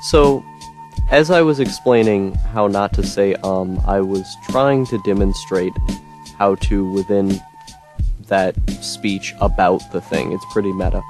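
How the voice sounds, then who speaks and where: A young man talking to camera in a rambling monologue with a droning, monotone voice, full of ums, restarts and frustrated swearing. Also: low-pitched, a little slow, soft background music. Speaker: YouTuber. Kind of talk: personal monologue.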